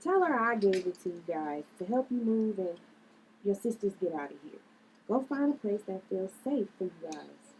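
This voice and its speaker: A woman's voice: mid-range voice, very animated, moderate pace, a little mellow, husky, poor audio. A woman playing a generous, protective young woman in a plain, earnest script read. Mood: caring.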